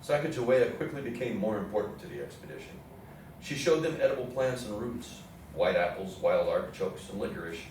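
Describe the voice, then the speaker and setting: A man's voice: on the low side, expressive, brisk, darkish tone. A man reading scripted historical narration as the narrator of a stage reading. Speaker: narrator in a readers theatre play. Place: indoor theatre room with audience program. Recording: echoey hall, distant mic, faint noise.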